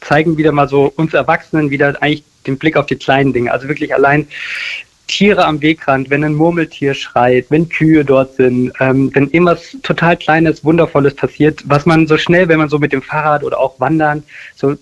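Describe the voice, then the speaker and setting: A man's voice: a little high, fairly even intonation, fairly quick, lo-fi sound. A man from Germany, talking casually and enthusiastically as a filmmaker being interviewed. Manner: enthusiastic, effusive.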